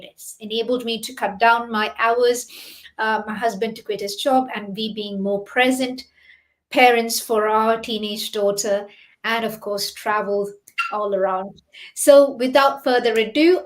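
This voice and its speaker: A woman in her forties from the UK hosting a live stream interview, chatty, with frequent "you know" and "isn't it". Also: on the high side. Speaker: host, doctor and business owner.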